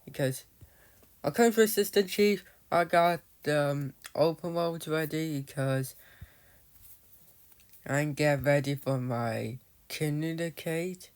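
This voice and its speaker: Man, slow-talking, slightly high voice, rambling game commentary, restarts often. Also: smooth. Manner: rambling, loses his thread, restarts often; often addresses viewers as "guys". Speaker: gamer narrating his play. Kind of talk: casual gameplay commentary.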